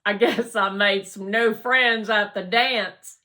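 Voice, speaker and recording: A woman's voice: mid-range voice, steady tone, slow pace, sharp tone. A woman voicing a cheerful, confident, chatty schoolboy in a storybook, with emphatic, exuberant delivery. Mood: grinning, bashful.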